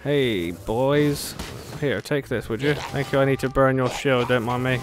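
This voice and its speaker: A woman's voice: extremely low pitch, a little slow. A woman giving casual, sarcastic, chatty commentary while playing a video game. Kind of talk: casual let's play commentary. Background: game music.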